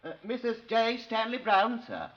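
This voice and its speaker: Flustered high-voiced constable, old radio. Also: male voice, expressive, normal speed, a bit bright, exaggerated voice. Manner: stammering, apologetic. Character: nervous, deferential, hurried male police constable. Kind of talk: 1950s radio drama acting. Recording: muffled old radio recording.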